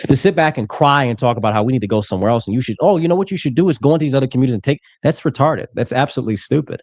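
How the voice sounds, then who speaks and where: Fast, lively man lecturing hard. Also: mid-range voice, no echo. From United States. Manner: assertive, lecturing, insulting. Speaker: debater. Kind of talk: heated debate.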